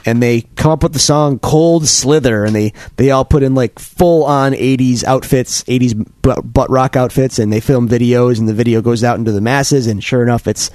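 A man co-hosting a podcast, still congested from a cold, rambling through animated stories with many restarts. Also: mid-range voice, normal speed, hardly any pauses, dry recording. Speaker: podcast co-host. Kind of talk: casual chat.

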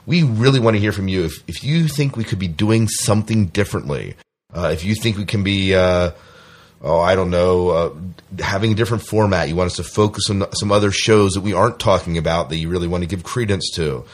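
A man with a low-pitched voice hosts a podcast. He talks informally and casually, with a joking, self-deprecating streak. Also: fairly quick. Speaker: podcast host. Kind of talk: casual hosting chat.

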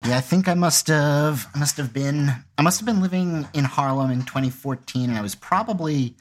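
A man's voice: fairly high pitch, fairly quick. Man chatting casually in a group commentary. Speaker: podcast creator giving commentary.

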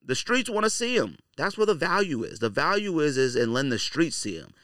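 Guy doing a podcast off the cuff, blunt and slangy, bright punchy voice, really dry sound. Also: a little high, very animated, a little fast, no echo. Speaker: sneaker podcast host. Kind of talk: casual, off-the-cuff podcast monologue.

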